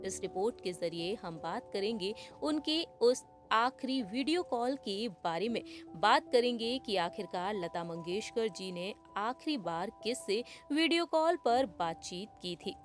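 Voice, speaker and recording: A woman's voice: medium pitch, lively intonation, unhurried. Woman doing a scripted showbiz news voiceover, mid-range voice, music playing behind her. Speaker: news presenter. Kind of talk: scripted entertainment news narration.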